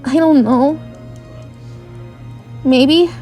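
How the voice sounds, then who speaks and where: A woman's voice: high voice, extremely slow, dark tone, faint music behind. A woman playing an earnest, slightly unsure young female bard in an audio drama, speaking conversationally. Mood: hopeful, unsure. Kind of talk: fan audio drama acting.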